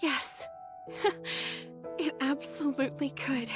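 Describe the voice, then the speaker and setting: A woman voicing a gentle, tender-hearted young female fighter in an anime game, soft and hesitant. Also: sits high, takes it slowly, music in the background. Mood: moved.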